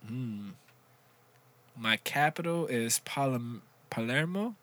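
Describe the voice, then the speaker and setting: A man's voice: mid-range voice, expressive, slow pace, fairly bright tone. A man casually reading trivia clues aloud during a drinking game with friends, laughing often. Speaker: quiz reader in a drinking game.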